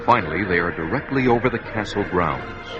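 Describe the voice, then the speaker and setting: A man's voice: deep voice, lively intonation, fairly quick. Man narrating an old radio adventure. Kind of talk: dramatic radio drama narration. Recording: muffled, hissy vintage radio recording.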